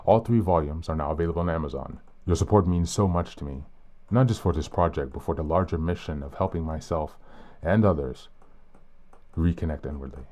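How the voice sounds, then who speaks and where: Podcast host, male, very deep and warm, mildly hoarse; calm, earnest reading. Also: a little fast. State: hoarse, a bit sick.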